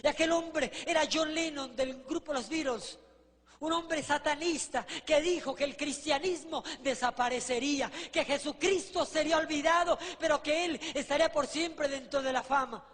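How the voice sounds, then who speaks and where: A man with an extremely high voice delivers a sermon as vivid testimony. His manner is fervent, punctuated by rhetorical questions to his listeners. Long reverberation from a large hall trails his words. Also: moderate pace, a bit bright. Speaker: preacher giving a testimony.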